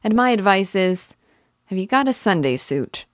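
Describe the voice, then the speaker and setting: A woman's voice: mid-range voice, very animated, normal speed, no room sound, clean studio sound, pitched above the performer's own voice, natural voice. A woman voicing an old male English village busybody with a rural dialect, self-important and neighborly, in a storybook reading. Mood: coaxing, advising. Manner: rambling, opinionated, advice-giving.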